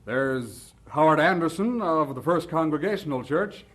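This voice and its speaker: A man's voice: a little high, animated, normal speed, crowd noise, natural voice. An actor playing a jovial, teasing clergyman in an audio drama, chatty and genial in manner.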